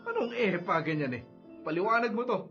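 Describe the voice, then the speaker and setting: Casting: demanding Filipino dad, nagging, high sharp voice with big swoops, radio drama over music. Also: male voice, expressive, a little fast, dull audio. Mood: stern, exasperated. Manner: nagging, emphatic. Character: stern, demanding middle-aged father scolding his son.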